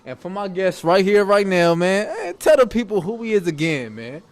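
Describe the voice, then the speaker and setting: A man's voice: high voice, expressive, moderate pace. Hype, slangy man hosting a chat. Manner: loose, hype, slangy talk with frequent affirmations. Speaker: podcast host. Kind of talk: casual interview chat. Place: casino boardroom.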